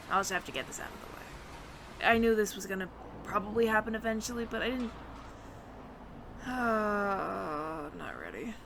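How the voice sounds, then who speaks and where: A woman's voice: medium pitch, noisy background, lightly acted. A woman playing a nervous, evasive alien roommate in an audio roleplay, stammering and over-explaining to the listener. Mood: resigned, reluctant.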